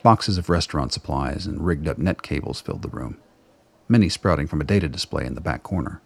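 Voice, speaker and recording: A man's voice: extremely low pitch, expressive, fast, gravelly, husky. A man reading scripted narration for a radio drama as its narrator.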